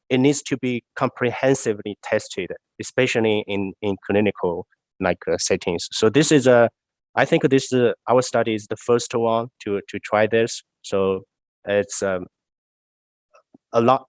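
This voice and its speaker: A man researcher answering questions after his talk, his voice nasal from a cold, halting with many fillers. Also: fairly low pitch, no room sound. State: congested, nasal voice. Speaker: research scientist presenting.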